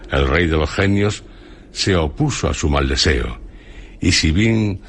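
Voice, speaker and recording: An ancient hermit sage in a radio play. His voice is extremely low and husky, with a Castilian Spanish accent. He declaims slowly and solemnly, like one who holds grave secrets. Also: lively intonation, fairly bright tone, heavily acted. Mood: solemn, weary. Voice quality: deep, husky. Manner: slow, grandiloquent, declamatory. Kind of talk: Spanish radio theatre acting.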